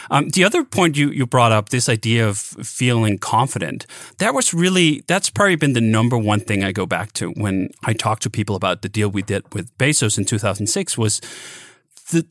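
Guy hosting a podcast, blunt and swears a lot, keeps restarting, middle pitch, dead dry mic. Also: a bit bright, dry recording. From Denmark. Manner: emphatic and frank, swears freely, restarts phrases. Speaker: podcast host. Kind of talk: casual podcast conversation.

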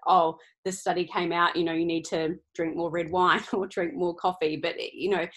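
A woman's voice: on the low side, little pitch movement, normal speed, a bit bright. A woman in her thirties with a New Zealand accent, answering interview questions as a research scientist and talking thoughtfully with frequent ums and restarts. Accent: New Zealand, slightly Australian-tinged. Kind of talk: casual podcast interview.

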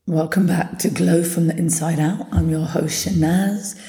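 A woman hosting a solo podcast, hoarse from having partly lost her voice, chatting in an upbeat, motivational way. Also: on the low side, fairly even intonation, a little slow, mellow. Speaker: podcast host. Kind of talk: casual solo podcast talk. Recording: echoey room.

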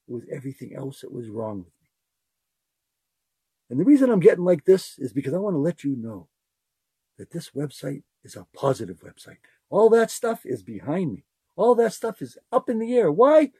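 An older man with a very husky, mellow voice talks to his listeners unscripted, earnest and rambling, circling back over the same phrases. His pitch swings widely as he talks, and the sound is dry and close. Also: very animated, moderate pace, pauses often, dry recording. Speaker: podcast host. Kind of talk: unscripted heartfelt monologue.

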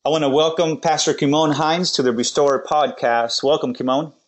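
A man's voice: fairly high pitch, fairly even intonation, a little fast. A man hosting a faith podcast, interviewing a guest in a rambling style with many restarts. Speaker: podcast host.

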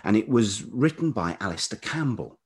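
Deep, velvety British man, slightly husky. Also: very animated. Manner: halting, digressive, thoughtful. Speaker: podcast guest, writer and radio producer. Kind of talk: reflective interview talk.

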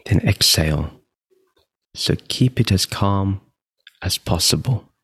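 A man leading a guided meditation in a calm, measured, deliberate manner, leaving long pauses between phrases. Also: sits low, husky, no room sound.

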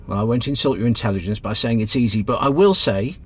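An actor playing a kindly, encouraging middle-aged British taxi driver in a radio play, reassuring and plain-spoken. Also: male voice, mid-range voice, quick pace, breathy edge, lightly acted. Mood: sympathetic.

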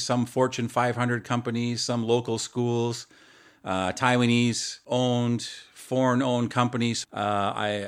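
Earnest man hosting a podcast. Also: mid-range voice. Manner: earnest, with some restarts. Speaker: podcast host. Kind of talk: casual podcast chat.

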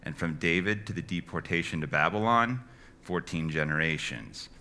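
A man reading a long genealogy from scripture in a slow, steady recitation. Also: low voice. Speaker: scripture reader.

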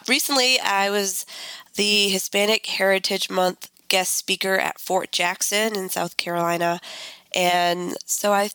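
A middle-aged woman hosting a solo podcast, congested from a cold, reading a speech in an earnest, measured way. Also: mid-range voice, fairly even intonation, bright, forceful tone, clear, resonant tone, no echo, low-quality recording. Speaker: podcast host.

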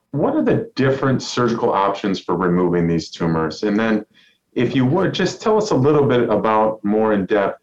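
A middle-aged man hosting a medical podcast and interviewing a guest in a measured way. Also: fairly low pitch. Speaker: podcast host. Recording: dull, lo-fi sound.

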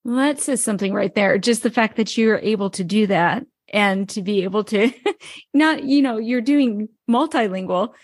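A woman's voice: mid-range voice, normal speed, no room sound, clean studio sound. A woman hosting a podcast who asks interview questions in a friendly, affirming way. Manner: friendly and affirming, laughs easily. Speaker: podcast host.

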